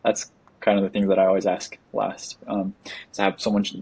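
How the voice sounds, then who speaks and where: Podcast host, man, deep flat husky voice, earnest and eager. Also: brisk, no room sound. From United States. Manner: earnest, enthusiastic, often restarts words. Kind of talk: casual interview chat.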